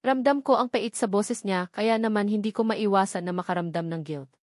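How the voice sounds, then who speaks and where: Female Filipino narrator for serialized romance audiobook, medium pitch, dry recording. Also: fairly quick, a little mellow, no room sound. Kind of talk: dramatic scripted audiobook narration.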